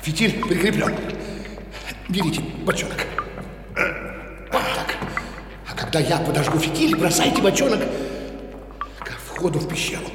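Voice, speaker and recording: An actor playing an anxious, commanding, devoted musketeer in a radio drama, urgent and theatrical. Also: male voice, fairly high pitch, expressive, normal speed, long pauses, natural voice. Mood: hushed, planning. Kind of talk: dramatic radio play acting. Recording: echoey reverberant hall effect, loud music.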